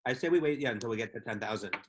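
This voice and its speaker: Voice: medium pitch, expressive, rapid-fire, noisy background. A podcast co-host on a video call during a live stream, making dry, wry asides. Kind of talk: live-stream casual chat.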